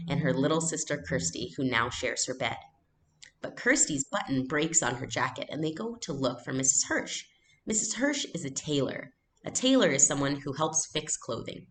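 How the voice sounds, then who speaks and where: A woman teacher reading a storybook aloud in an expressive, explanatory way. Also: low voice, a little hiss or hum.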